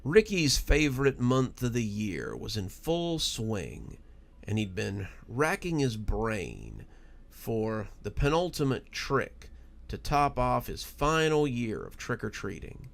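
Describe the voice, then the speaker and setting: A man narrating a horror story in a dramatic, expressive and deliberate storytelling style. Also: medium pitch, creaky.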